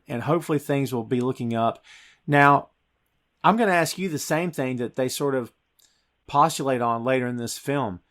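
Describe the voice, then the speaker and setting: A man's voice: mid-range voice, a bit bright. A man talks as a podcast host in a mid-range voice. He retells scenes informally and with emphasis, like someone chatting with a co-host. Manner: informal, emphatic storytelling, addressing co-host as dude. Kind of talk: casual film recap and discussion.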